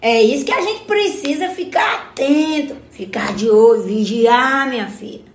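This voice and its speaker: High-pitched folksy Minas Gerais grandmother acted by a woman. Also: lively intonation, moderate pace, echoey room. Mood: patiently explaining. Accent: Brazilian, Minas Gerais. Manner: folksy, motherly, explanatory, exclamatory. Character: Dona Joaninha, spirited older village grandmother, folksy and wise. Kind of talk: scripted community radio drama.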